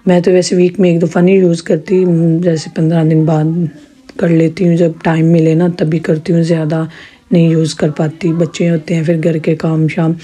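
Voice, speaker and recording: Woman vlogging from home, hoarse throat, mellow voice, chatty and rambly. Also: fairly low pitch. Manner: chatty, rambling with many restarts. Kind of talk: casual home vlog narration.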